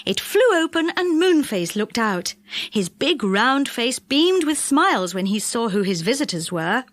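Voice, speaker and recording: Female children's audiobook narrator: high voice, expressive, very varied pitch, dry close sound. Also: a little slow, no room sound. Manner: expressive, animated storytelling. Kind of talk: scripted children's story narration.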